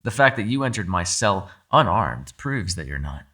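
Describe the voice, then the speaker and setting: A man playing a captive mind-controlling being in an ASMR roleplay, calm, shrewd and persuasive, speaking in a measured, formal, quietly condescending way. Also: low voice, slightly rough, full character voice. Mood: pointed, persuasive. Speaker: voice actor. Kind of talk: ASMR roleplay, dramatic monologue.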